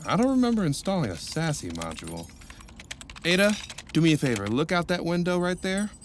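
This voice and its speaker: A cheerful, joking male counselor, lively pitch, faint background noise. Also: a little fast. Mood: playful. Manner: playful, jokey. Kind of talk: audio drama acting.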